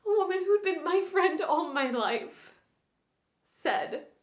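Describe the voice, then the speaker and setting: Woman acting a halting grief-stricken widow, roomy echo. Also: extremely high pitch, animated, voice pushed up, full character voice. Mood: pained, bitter. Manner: halting, short broken phrases, sighing, swinging from hushed to pained. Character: grief-sealed reclusive widow, long silent, haltingly breaking her silence. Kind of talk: dramatic stage monologue. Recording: roomy, echoing indoor sound.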